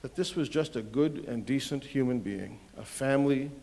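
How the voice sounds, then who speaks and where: A man's voice: mid-range voice, normal speed, vocal fry, darkish tone. Heartfelt man giving a tribute. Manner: heartfelt, hesitant with fillers. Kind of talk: spoken tribute.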